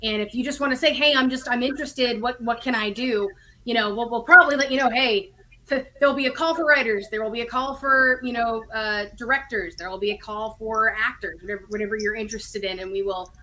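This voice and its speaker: A woman's voice: a little high, a little fast, pushed and bright, rough recording. A chatty woman in casual interview conversation as a guest writer and producer, often correcting herself as she explains. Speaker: audio drama writer and producer, podcast guest. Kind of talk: animated casual interview chat.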